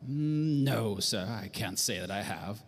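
An actor playing a smug, talkative middle-aged nightclub owner with affected refinement, in a 1940s-style noir radio drama. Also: male voice, lowish voice, lively intonation, unhurried. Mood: amused, evasive. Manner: affectedly refined, loquacious, chuckling.

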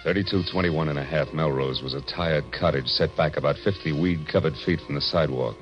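A man's voice: extremely low pitch, brisk. An American man playing a hard-boiled, sardonic private detective in a 1940s radio noir drama, with terse, wisecracking delivery. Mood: wry narration. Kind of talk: 1940s radio noir acting and first-person narration. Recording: muffled vintage radio recording with music.

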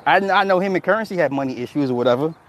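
A man's voice: on the high side, expressive, rapid-fire, a bit bright, dull audio, a little hiss or hum. A man hosting a casual livestream who reads chat comments and tells stories in a loose, profane way, often laughing. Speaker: livestream host reading chat.

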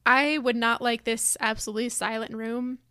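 A soft-spoken woman co-hosting a podcast, talking thoughtfully in casual chat. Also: on the high side, steady tone, normal speed, smooth, dry recording, studio quality. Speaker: podcast co-host.

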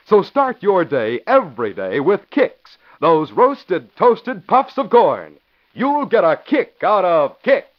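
Lively old-time radio announcer man. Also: high-pitched, slow pace. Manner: emphatic, upbeat, punchy. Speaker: announcer and narrator. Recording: dull lo-fi old radio recording.

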